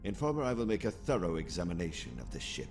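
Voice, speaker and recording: Man acting a deep, formal sci-fi officer. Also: big pitch swings, darkish tone. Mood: measured, formal. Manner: measured, formal, precise. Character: calm, formal, authoritative male military lieutenant. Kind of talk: sci-fi audio comic dub. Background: prominent music.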